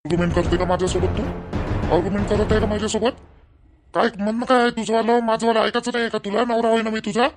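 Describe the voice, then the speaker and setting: A man's voice: high-pitched, little pitch movement, fast, faint music behind. A man playing an angry, controlling young village husband in a family cartoon, scolding and repeating himself in a Vidarbha Marathi accent. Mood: angry, commanding. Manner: scolding, domineering, repetitive. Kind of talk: family drama cartoon dialogue.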